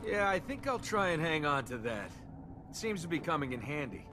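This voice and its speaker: A young man voicing a cocky, wisecracking rogue adventurer with a sarcastic, wry delivery, over loud game music. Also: on the high side, sing-song, fairly quick, noisy background.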